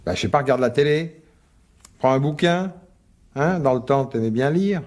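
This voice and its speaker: An actor playing a weary, placating husband in a radio mystery drama, colloquial and sighing, coaxing yet dismissive. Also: male voice, mid-range voice, lively intonation, normal speed, a little mellow, lightly acted.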